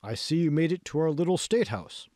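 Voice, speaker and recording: A man's voice: a little high, animated, brisk, a little mellow, no echo, clean studio sound, voice pushed up, close to an everyday voice. A man voicing a self-important, patronising state official in an audiobook, pompous and ingratiating. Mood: puffed-up.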